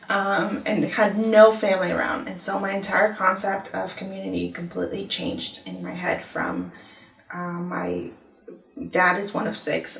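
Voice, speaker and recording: A woman's voice: mid-range voice, vocal fry, fairly bright tone. Woman telling her story in an echoey room. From Ecuador. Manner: thoughtful, many "um" pauses, storytelling. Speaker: university discipline office director. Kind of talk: conversational testimony interview. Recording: echoey room, mic at a distance.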